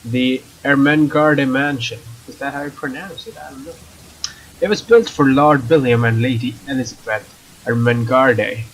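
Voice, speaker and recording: A man's voice: medium pitch, a little hiss or hum, rough recording. A young man hosting a let's play, commenting on a game and reading its text aloud in a casual, jokey way. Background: faint game music.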